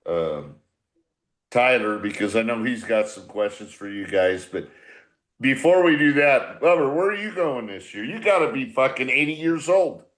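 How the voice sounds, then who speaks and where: A man's voice: medium pitch, animated, moderate pace, fairly bright tone. An American man in his sixties with a blunt, salty, rambling manner, chatting casually as a veteran baseball manager. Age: 60s. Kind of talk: casual profane group chat.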